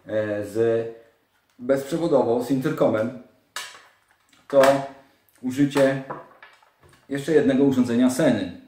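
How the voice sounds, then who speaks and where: Guy doing a casual how-to vlog, soft dark tone, echoey room, kinda halting step by step. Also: medium pitch. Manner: informal, step-by-step, halting with small interjections. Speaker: moto vlogger presenting a gear setup guide. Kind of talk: casual hands-on tutorial. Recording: echoey, roomy indoor sound.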